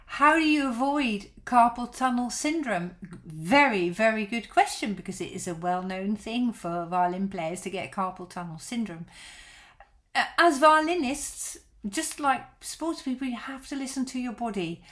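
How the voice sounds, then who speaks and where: A woman's voice: fairly high pitch, animated, moderate pace, fairly bright tone. A middle-aged woman whose first language is Dutch, a violin teacher chatting on a live stream and answering viewer questions in a reassuring way.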